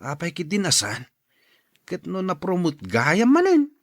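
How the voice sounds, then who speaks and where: A man's voice: high voice, wildly swooping pitch, a little slow, dry recording, studio quality, heavily acted. A man playing an easygoing, helpful older cousin in an Ilocano radio drama. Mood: breezy, lively.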